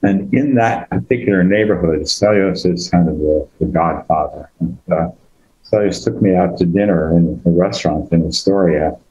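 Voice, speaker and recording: Deep, dark-voiced older man, halting. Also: lo-fi sound. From United States. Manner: hesitant, many fillers and restarts. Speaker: guest speaker. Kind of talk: conversational personal story. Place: online video call.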